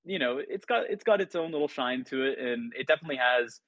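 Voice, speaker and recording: A man's voice: mid-range voice, expressive, fast, fairly bright tone, dry recording. A man who grew up in Italy, a podcast guest chatting in a loose, enthusiastic, rambling way. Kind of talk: casual podcast chat.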